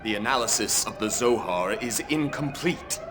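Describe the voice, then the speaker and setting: A man's voice: on the low side, expressive, normal speed, lightly acted. Actor as a grave old man amid noise. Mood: grave, stern. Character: grave old man sternly warning against catastrophe.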